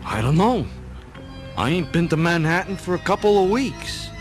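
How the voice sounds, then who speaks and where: An actor playing an earnest, naive younger-brother plumber in a radio play. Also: male voice, a little high, very animated, normal speed, over music. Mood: puzzled, speculating.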